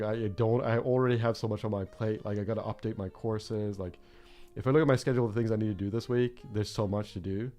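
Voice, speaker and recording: Low-voiced man chatting while he draws. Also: gravelly, warm tone. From Norway. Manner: rambling, reflective, many fillers and restarts. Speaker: art streamer. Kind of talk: casual chat while drawing on a livestream.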